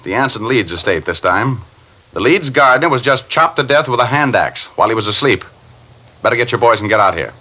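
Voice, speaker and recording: An American actor playing a wry, confident private detective in an old-time radio drama, brisk and commanding. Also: male voice, medium pitch, animated, background noise, close to an everyday voice.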